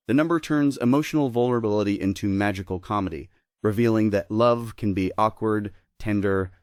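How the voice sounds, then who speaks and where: A man's voice: fairly low pitch, a little mellow, dry recording, clean studio sound. A man narrating a script, reading stage directions and scene summaries aloud.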